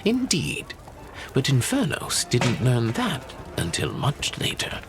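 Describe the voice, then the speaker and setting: A slow, swooping fantasy storyteller. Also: male voice, mid-range voice, wildly swooping pitch. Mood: solemn, deliberate. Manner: solemn, deliberate, theatrical. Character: measured storyteller recounting legends over drinks. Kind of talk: dramatic fantasy narration.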